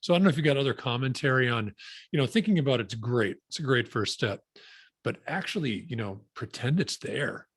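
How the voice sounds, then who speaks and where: A man with a hoarse voice hosting a webinar interview, speaking haltingly with many fillers and restarts. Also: mid-range voice, animated, no room sound, studio quality. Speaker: webinar host. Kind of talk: interview conversation.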